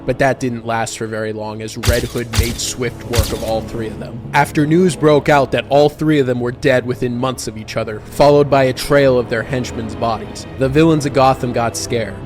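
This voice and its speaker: A man narrates a scripted dramatic story. His voice is of medium pitch, and music plays clearly behind him. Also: fairly even intonation, moderate pace, hardly any pauses.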